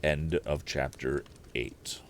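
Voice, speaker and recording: A man reading an old adventure novel aloud as a storyteller on a live stream, in an expressive audiobook style. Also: rumbling low, slow pace, a little hiss or hum.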